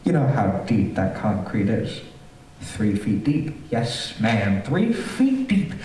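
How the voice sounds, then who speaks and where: An actor playing a fussy, eager homeowner who is secretly a murderous villain, repeating himself in an old-time radio thriller on stage. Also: male voice, lowish voice, huge pitch swings, slow pace, warm tone, full character voice. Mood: sinister glee. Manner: repetitive, fussy, chuckling, sinister. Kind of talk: stage performance of golden-age radio thriller. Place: theater stage, live performance. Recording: roomy theater, far from mic, faint noise.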